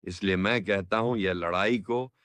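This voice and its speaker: A man's voice: deep voice, unhurried, fairly bright tone, clear, resonant tone, dry recording. A man playing an earnest, imploring captive who wants peace, in a cartoon story. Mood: earnest appeal. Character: pleading, peace-minded family man held captive. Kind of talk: cartoon moral story dialogue.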